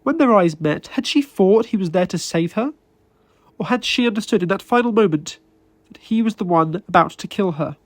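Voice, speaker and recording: A man reading literary fiction as a narrator, with a deliberate, emotive delivery and dramatic pauses. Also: sits high, animated, dry recording. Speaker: narrator and author reading his own short story. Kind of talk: dramatic scripted audiobook narration.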